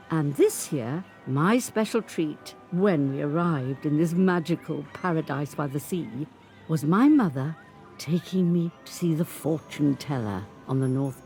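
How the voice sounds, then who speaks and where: An actress playing an 85-year-old grandmother from Birmingham, who looks back on her life in a measured, unhurried monologue. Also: lowish voice, darkish tone. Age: older. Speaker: narrator. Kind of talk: scripted dramatic monologue.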